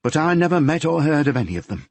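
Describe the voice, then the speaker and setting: A tearful, polite Yorkshire mother in middle age, as a male narrator puts her on, raised well above his usual pitch. Her voice is soft at the edges and a little husky, with a gentle, fretful and homely way of speaking. The reading is dry and close. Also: very animated, fast, dry recording. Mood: sorrowful. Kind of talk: audiobook character voice.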